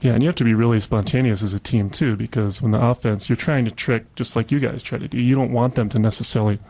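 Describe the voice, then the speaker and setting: A man's voice: mid-range voice, steady tone, quick pace, darkish tone. A man hosting a casual radio interview in a chatty, encouraging manner. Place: radio studio.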